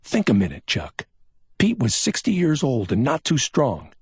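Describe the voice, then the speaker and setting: A man voicing a brusque, excitable male police officer who snaps out urgent reasoning. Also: medium pitch, unhurried, vocal fry, slightly rough, no echo, clean studio sound, pitched above the performer's own voice, heavily acted. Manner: snapping, urgent, scolding.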